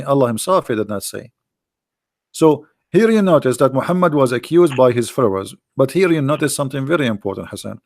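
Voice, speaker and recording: A man hosting a talk show, mocking and sarcastic, going hoarse as he loses his voice. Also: medium pitch, darkish tone, dry recording, studio quality. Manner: mocking, sarcastic, with a non-native grammar. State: losing his voice. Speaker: host.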